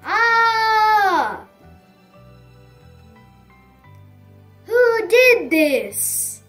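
A woman voicing an excitable, dramatic young male blue bison in a children's storybook, with emphatic, indignant delivery. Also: very high-pitched, extremely slow, soft background music, pitched above the performer's own voice, exaggerated voice. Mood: shocked, loud.

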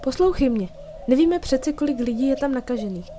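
A woman's voice: high voice, animated, mellow, slight background noise. A woman playing an anxious, pleading young sorceress in an amateur audio drama.

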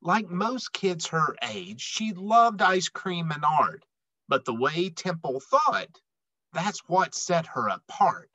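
Male storyteller, middle-aged, slow and animated, emphatic delivery for young children. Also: high-pitched, no room sound. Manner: emphatic, dramatic phrasing with deliberate pauses. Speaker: read-aloud storyteller. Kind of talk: expressive children's picture book read-aloud.

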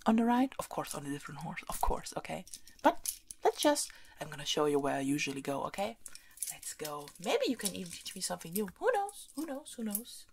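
A woman playing a haughty, bossy but playful young princess in an ASMR roleplay, soft and breathy, often slipping into whispers. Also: lowish voice, big pitch swings, fairly quick, a little hiss or hum. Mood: playful, softening. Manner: imperious, teasing, repeats phrases insistently.

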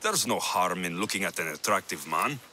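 A man voicing a gruff, sardonic mercenary warrior in a video game. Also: deep voice, animated, normal speed, bright, forceful tone, a little hiss or hum, exaggerated voice. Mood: wry, questioning. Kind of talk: video game cutscene acting. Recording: game music behind the voice.

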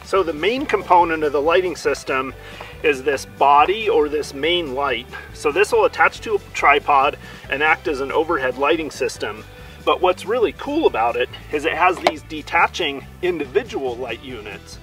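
A man's voice: on the high side, normal speed, soft background music, low-quality recording. Man reviewing camp gear outdoors. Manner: steady, enthusiastic explainer. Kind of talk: product review. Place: outdoors in a dry juniper woodland campsite.

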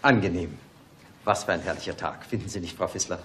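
Gushing, cheerful police inspector. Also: male voice, sits low, fairly quick, fairly bright tone. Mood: blissful, gushing. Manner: gushing, rhapsodic. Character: cheerful, effusive male police inspector, hypochondriac. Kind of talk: German radio play acting. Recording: clearly noisy background.

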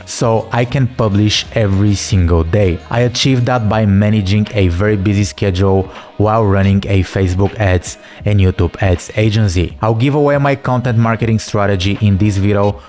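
Low-voiced man with a Bulgarian accent. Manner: steady, earnest, instructive delivery. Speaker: content creator. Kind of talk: scripted talking-head advice. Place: living room at home. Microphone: large studio mic on a stand. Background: soft background music.

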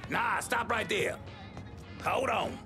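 Gravelly, high-pitched bossy kitchen manager. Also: male voice, slow, music in the background. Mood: scolding. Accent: American South. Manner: barking, scolding orders. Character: bossy, irritable male kitchen manager scolding his staff. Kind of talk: video game acting.

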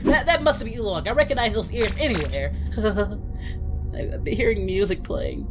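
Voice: medium pitch, animated, moderate pace, music in the background, close to an everyday voice. A performer voicing a boastful but easily frightened cartoon anime hero, whiny and stammering, in a comedic reaction video. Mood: nervous hosting. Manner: whiny, frantic, stammering, prone to shrieking. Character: cartoon anime hero, jumpy scaredy-cat, boastful yet panicky.

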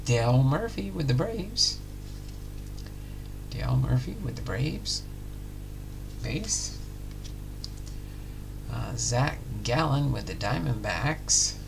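A middle-aged man calmly calling out each trading card as he opens packs at home. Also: mid-range voice, creaky, noisy background. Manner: unhurried, announcing each card plainly. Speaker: card-breaking channel host. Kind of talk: casual pack-opening commentary. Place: home room with card displays.

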